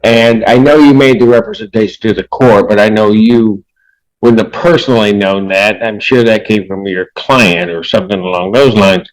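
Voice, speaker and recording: A man speaking as a judge from the bench, conversational and plain-spoken, often halting as he reasons aloud. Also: on the low side, low-quality recording. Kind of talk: spontaneous courtroom remarks from the bench.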